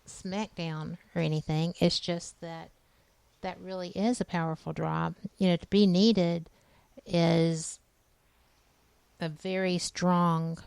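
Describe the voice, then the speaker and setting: A woman in her late fifties talks casually and frankly on a talk radio show. Her low voice is gravelly with fry, yet smooth and resonant. The recording is dry and close. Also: level tone, takes it slowly, darkish tone, no echo. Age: 50s. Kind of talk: casual chat.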